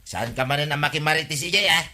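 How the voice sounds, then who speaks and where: Grumbling village husband, bright high-ish voice. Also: male voice, quick pace. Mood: impatient. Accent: Ilocano. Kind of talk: Ilocano radio comedy drama acting.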